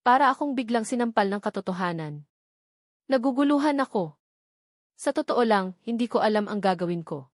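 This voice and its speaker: A woman's voice: a little high, lively intonation, brisk, long pauses, no echo, studio quality. A woman reading a first-person romance novel as a scripted audiobook narration.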